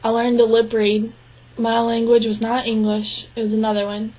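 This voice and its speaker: Woman interpreting in a flat, higher voice. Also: poor audio. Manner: even, measured delivery with frequent restarts. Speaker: sign language interpreter voicing a deaf man's answers. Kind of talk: spoken interpretation of a signed interview.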